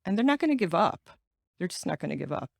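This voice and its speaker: A woman's voice: lowish voice, very animated, fast, gravelly, dry recording, clean studio sound. A middle-aged American woman who grew up speaking Ukrainian first, answering interview questions earnestly and stressing points by repeating words. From United States. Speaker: guest, patient advocate.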